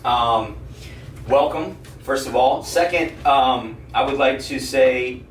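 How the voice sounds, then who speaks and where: A man's voice: medium pitch, slow. Man hosting a podcast, echoey distant sound. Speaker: podcast host. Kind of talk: casual podcast interview. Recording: echoey, distant mic with noise.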